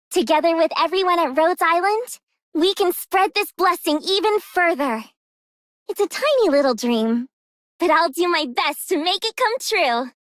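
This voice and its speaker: A woman's voice: high-pitched, dry recording, clean studio sound. A woman voicing a sweet, earnest young idol in a video game. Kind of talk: video game character voice lines.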